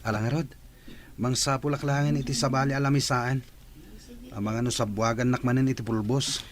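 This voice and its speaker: A man's voice: medium pitch, steady tone, a little fast, a crowd in the background, close to an everyday voice. A young man acting a friendly, polite student in an audio drama. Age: young adult.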